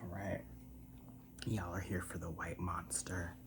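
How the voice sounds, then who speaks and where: An American ASMR creator rambling softly and casually in a breathy half-whisper, with a self-deprecating manner, close to the microphone. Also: deep voice, level tone, unhurried, noisy background. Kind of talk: soft-spoken ASMR rambling. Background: tapping and scratching on cans.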